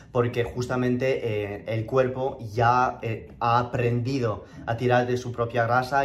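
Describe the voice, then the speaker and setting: A man in his thirties with a French accent, coaching on keto diets in a live stream Q&A. He talks casually and rambles, full of "eh" and restarts, in a voice of ordinary pitch. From southern France, Spanish parents.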